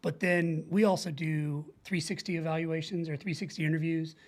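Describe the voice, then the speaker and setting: A middle-aged man with an American accent and a fairly high voice talks in a casual interview style. He sounds earnest and informal, explaining things as a coach would, with quick everyday examples. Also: flat delivery. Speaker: podcast guest, leadership coach.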